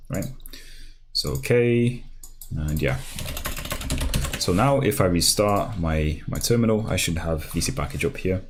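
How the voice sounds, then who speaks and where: A man's voice: low voice, a little hiss or hum. A man from Brazil whose first language is Portuguese, hosting a casual live coding stream and talking through each step with lots of fillers and restarts. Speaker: programming livestream host. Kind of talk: live coding tutorial, thinking aloud. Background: keyboard typing.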